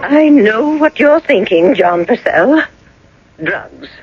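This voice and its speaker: An actress playing an anxious older mother in a radio drama, insistent and quick to fear the worst. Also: on the high side, animated, faint music behind. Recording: dull old radio sound, faint noise.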